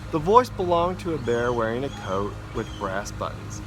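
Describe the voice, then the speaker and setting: A man reads a children's storybook aloud in an expressive way, stumbling now and then, outdoors in a backyard. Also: medium pitch, normal speed. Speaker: school principal reading a story. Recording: noisy outdoor backyard recording. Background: neighbourhood ambience, mowers, dogs, kids.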